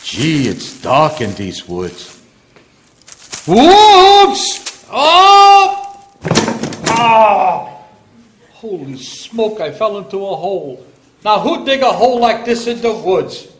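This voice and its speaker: An excitable everyman father played by a man in old-time radio comedy, full of gruff bravado. The voice sits high and swings widely, with folksy exclamations, over faint noise from a live recording. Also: extremely slow, pauses often, full character voice. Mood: startled. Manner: exclamatory, working-class folksy phrasing.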